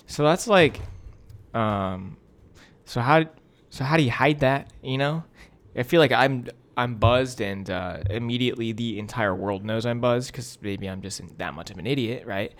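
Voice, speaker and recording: A man's voice: mid-range voice, normal speed. A young American man co-hosting a podcast, chatting casually with a joking, teasing manner and frequent interjections. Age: young adult. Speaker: podcast co-host. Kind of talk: casual chat.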